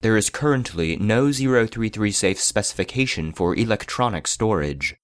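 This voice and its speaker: Guy doing a classified archive narrator, kinda deep, formal and clinical, no emotion, super dry sound. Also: expressive, moderate pace, dry recording, lightly acted. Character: impassive male archive database voice reading classified files. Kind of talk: scripted narration, clinical report.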